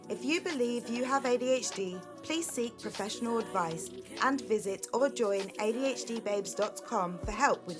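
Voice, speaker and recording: A woman reading a scripted show intro and disclaimer in a clear, even way. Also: mid-range voice. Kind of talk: scripted announcement. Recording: voice over music.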